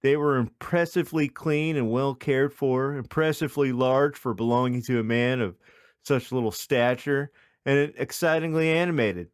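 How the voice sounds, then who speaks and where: A man's voice: medium pitch, moderate pace, clean tone, no echo. Dry-sounding man narrating a comic story. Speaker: podcast host.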